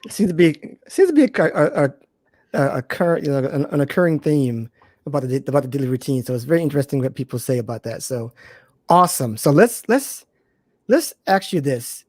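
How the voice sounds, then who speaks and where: A man's voice: fairly high pitch, lively intonation, brisk, darkish tone, dry recording, studio quality. A man hosting a casual interview, encouraging his guest and often repeating phrases as he feels out each question. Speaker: interview host.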